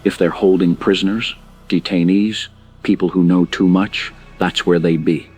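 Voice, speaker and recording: An actor playing a grim informant in an audio drama, speaking in grave, measured and emphatic warnings. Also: male voice, deep voice, fairly even intonation, darkish tone, slightly rough, faint music behind. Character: grim male informant warning of bioweapon outbreak.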